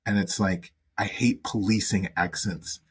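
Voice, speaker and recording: A man from the American South co-hosting a casual podcast chat, animated and quick to act out the voices he quotes. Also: on the low side, husky. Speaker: podcast co-host.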